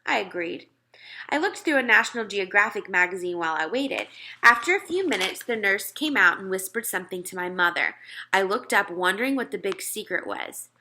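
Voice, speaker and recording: Bright, animated woman storyteller. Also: mid-range voice, brisk, a little hiss or hum. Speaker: read-aloud storyteller. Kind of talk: expressive children's story narration.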